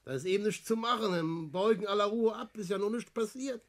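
An actor in a German radio play from around 1960, playing a slow-witted, grumbling small-time crook with a husky voice. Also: male voice, sits high, close to an everyday voice. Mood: grumbling, defensive.

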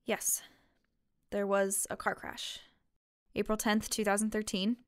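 A woman acts out a young waitress in a fiction podcast who keeps her guard up over her grief. She talks plainly and haltingly at a medium pitch, weary and a little defensive, in a very dry recording. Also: completely flat delivery, clear, resonant tone, no room sound, natural voice. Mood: resigned, bitter. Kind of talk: fiction podcast drama acting.

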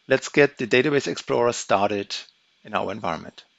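A man giving a methodical, step-by-step walkthrough of a software demo, explaining each action as he goes. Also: lowish voice, lively intonation, fairly quick, creaky, dry recording. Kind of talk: screen-recorded software walkthrough. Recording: dry, slightly dull audio.

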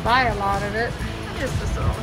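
A woman with a fairly high voice talks casually through a shop-along vlog. She thinks aloud in a chatty way, recorded on a handheld phone in a big store with music in the background. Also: animated, fairly quick, low-quality recording. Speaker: vlog host, thrift store reseller. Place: large indoor warehouse-style thrift store. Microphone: handheld phone filming the shelves.

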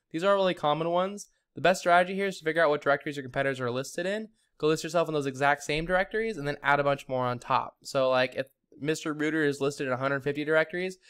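A man's voice: fairly high pitch, a bit bright, clear, resonant tone. A man giving a casual, chatty and upbeat screen-share tutorial to a client, like a consultant reviewing a website. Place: screen recording voice-over.

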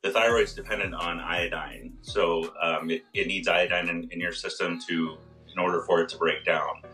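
A hoarse man in his fifties, a pharmacist explaining health topics on a video call, step by step with many fillers. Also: deep voice, fairly even intonation, normal speed, pushed and bright, distant mic. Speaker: pharmacist guest on a radio show. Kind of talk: casual health talk. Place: small room indoors.

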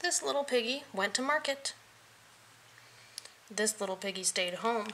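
A woman reading a children's picture book aloud in an expressive, playful way, adding vocal sound effects. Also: a little high, moderate pace, noisy background.

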